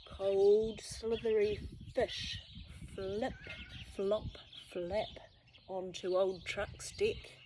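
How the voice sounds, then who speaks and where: A woman reading a children's story aloud expressively, with deliberate, dramatic phrasing. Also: mid-range voice, steady tone, mellow, a little hiss or hum. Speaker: storybook reader.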